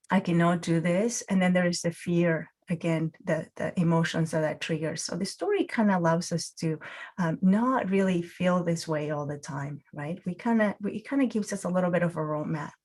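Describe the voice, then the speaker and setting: A woman's voice: lowish voice, darkish tone. Reflective woman teaching mindfulness. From Peru. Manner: reflective, many fillers and restarts. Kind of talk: guided mindfulness talk.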